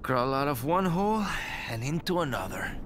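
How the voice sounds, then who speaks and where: A man's voice: medium pitch, sing-song, normal speed, background noise, lightly acted. A man with a Spanish accent playing a cocky, roguish, teasing captive in a video game. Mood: groggy, teasing. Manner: wry, teasing. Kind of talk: video game cutscene acting.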